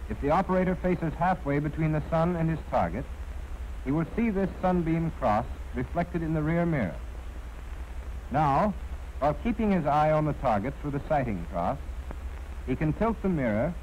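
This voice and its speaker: An American man reading scripted instructional narration in a formal, measured, precisely enunciated way. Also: fairly high pitch, expressive, a little mellow. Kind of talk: scripted training film narration. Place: narration recorded for an archival film. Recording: dull, noisy 1940s film soundtrack.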